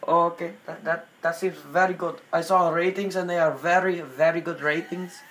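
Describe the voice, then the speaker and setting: Noisy recording, halting, earnest Indian man played by a young man. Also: a little high, steady tone, fairly bright tone, lightly acted. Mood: polite, hesitant. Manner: halting, apologetic, many ums. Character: polite, earnest Indian man, hesitant homesick prank caller. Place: small bedroom. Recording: noisy amateur phone-video recording.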